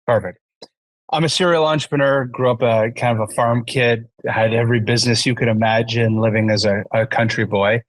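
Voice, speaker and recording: A man's voice: mid-range voice, fairly even intonation, fairly quick, no echo, poor audio. Canadian man in his forties, dry close sound. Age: 40s. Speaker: podcast guest, entrepreneur. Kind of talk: conversational business storytelling.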